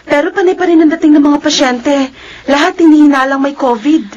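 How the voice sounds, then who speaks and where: An actress playing a stoic, overworked nurse in a radio drama, in a dull recording. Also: female voice, high-pitched, brisk, a little mellow, lightly acted. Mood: concerned. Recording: dull sound, faint background noise.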